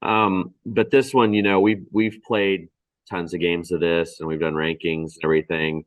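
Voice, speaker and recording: A man hosting a board game discussion in casual, halting talk with trailing pauses. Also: low voice, level tone, no echo, low-quality recording. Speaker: board game show host. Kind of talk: casual chat.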